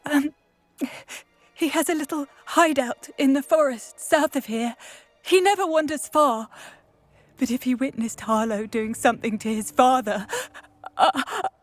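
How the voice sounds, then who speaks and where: A woman's voice: sits high, unhurried. An actress playing a tearful, fearful, newly widowed mother in a video game. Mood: anxious, tearful. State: tearful, close to crying. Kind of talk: video game character acting.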